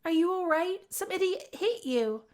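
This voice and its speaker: A shy young student in a visual novel, played by a woman. Her voice is very high, and she speaks in a hesitant, self-conscious way. She comes across as bookish and reserved. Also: animated, moderate pace, a little mellow. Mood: worried. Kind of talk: visual novel character voicing.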